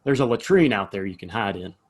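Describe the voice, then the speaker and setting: A man playing a friendly, protective frontier saloon keeper in a western audio play, husky and folksy. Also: on the low side, lively intonation, fast. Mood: hearty, helpful.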